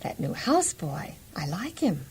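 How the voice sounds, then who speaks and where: An actress playing a rich man's admiring, coaxing wife in a children's audio Bible drama, coy and gushing with drawn-out emphasis. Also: low voice, very animated.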